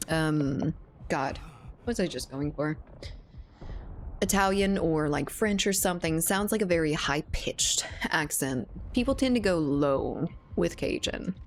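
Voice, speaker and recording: A woman's voice: low-pitched, lively intonation, measured pace, a little hiss or hum. A young woman streamer, chatting casually with viewers, rambling and laughing often. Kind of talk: casual chat with viewers while gaming.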